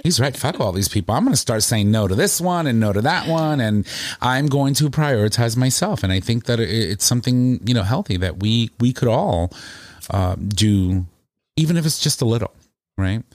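A man hosting a podcast in casual chat, animated and quick to laugh. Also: medium pitch, no room sound. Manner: animated, chatty, laughs often. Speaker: podcast host.

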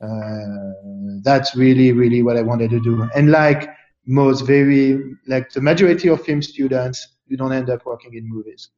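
A man from France, speaking as an interview guest in a thoughtful, halting way with many fillers and restarts. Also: mid-range voice, steady tone, a little mellow, echoey room, highs cut off. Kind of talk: casual interview chat.

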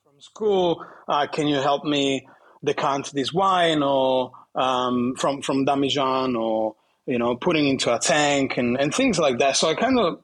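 A man in his thirties from southern Italy speaks English with an Italian accent, his voice husky and slightly breathy. He talks casually in an interview, stopping and restarting often and laughing lightly. His pitch sits in an ordinary middle range. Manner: halting, many restarts and fillers, laughs often. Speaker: guest bartender.

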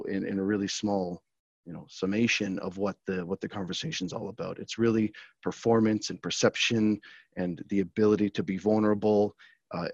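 A man's voice: fairly low pitch, darkish tone, dry recording. A man hosting a podcast interview, friendly, talking with many fillers and restarts. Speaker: podcast host. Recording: dry, dull lo-fi sound.

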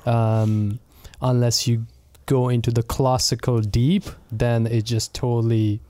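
A man's voice: medium pitch, slow pace, no room sound. A young man whose first language is Punjabi, an associate pastor, chatting casually in an interview with many fillers and easy laughs. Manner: relaxed and friendly, laughs easily, many fillers and restarts. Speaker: associate pastor of local mission.

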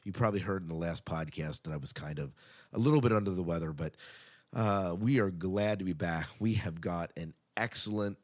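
A man's voice: low-pitched, fairly quick. A man hosting a podcast in a chatty, casual way, part scripted and part off the cuff, with upbeat announcing. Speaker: podcast host.

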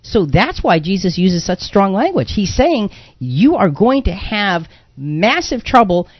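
A man's voice: high voice, very animated, unhurried, no echo. Man, radio host, conversational religious discussion, dry close sound, slightly muffled broadcast audio. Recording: dull, band-limited radio audio.

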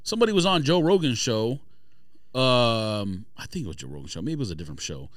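A man's voice: mid-range voice, sing-song, fast talker, no room sound, clean studio sound. A man debating casually as a podcast host, argumentative and blunt, with emphatic delivery.

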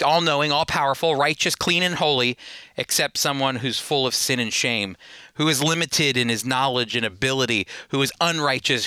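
A man's voice: a little high, bright, forceful tone, no echo. A man teaching the Bible on radio in a conversational, earnest and emphatic way.

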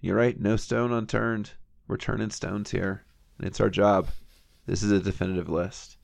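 A man with a very deep, gravelly voice co-hosting a relaxed podcast chat. He trades wry, teasing remarks and keeps the banter loose and joking. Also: normal speed. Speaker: podcast co-host. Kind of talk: casual chat.

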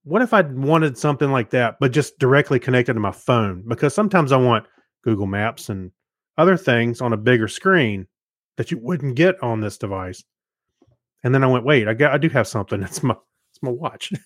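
A man's voice: medium pitch, lively intonation, normal speed, no echo. Rambling middle-aged man, close dry sound. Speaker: podcast co-host. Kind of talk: casual chat.

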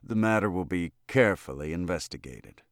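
A man voicing a dignified state governor in a storybook, formal and measured with a dry edge. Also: medium pitch, sing-song, dry recording, pitched above the performer's own voice, lightly acted. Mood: acid, dignified.

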